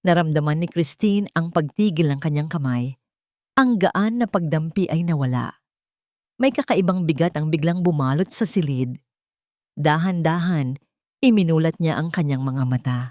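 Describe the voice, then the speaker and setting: Female narrator, Filipino accent. Dark, soft-edged low tone, dry sound, scripted dramatic storytelling. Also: normal speed, no room sound.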